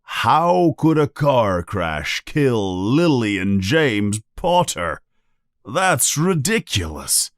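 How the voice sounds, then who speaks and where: A man voicing a big gruff but kind-hearted giant in a storybook reading, growling low and slow. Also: very animated, no room sound, clean studio sound. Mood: gruff, troubled.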